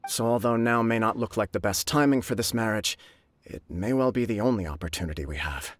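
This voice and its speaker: A man's voice: lowish voice, fairly quick, no room sound, natural voice. An actor voicing a modest, polite young male clan leader in a video game, a little bashful. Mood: earnest. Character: earnest young male clan head, newly engaged.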